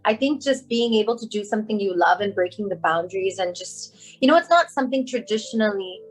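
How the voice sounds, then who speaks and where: A young woman from Hong Kong chats openly as an interview guest, her voice sitting at a middle pitch. She laughs often and her pace swings between quick and slow. Faint music plays behind her. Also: animated, rough recording. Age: young adult. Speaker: guest, makeup artist and brand founder. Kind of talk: casual interview chat.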